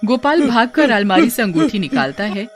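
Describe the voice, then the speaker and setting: A woman's voice: a little high, very animated, moderate pace, soft background music. A woman working as narrator, reading a scripted children's story.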